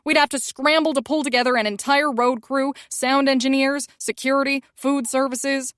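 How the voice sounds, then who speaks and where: A woman's voice: high voice, fairly even intonation, moderate pace, fairly bright tone, dry recording, clean studio sound, voice pushed up. A woman voicing a panicky male publicist with a grating whine and a frantic, accusing way of talking. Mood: whining.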